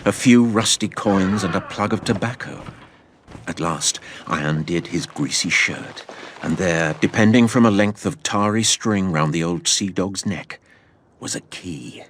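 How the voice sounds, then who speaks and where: A man playing a grave narrator who recounts his boyhood adventure in measured, literary storytelling for an audio drama. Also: low voice, slight background noise. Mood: grave, suspenseful.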